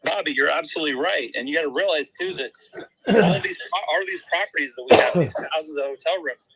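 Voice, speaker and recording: A man in a casual podcast conversation, readily agreeing and speaking haltingly with many false starts. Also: on the high side, sing-song, creaky, background noise.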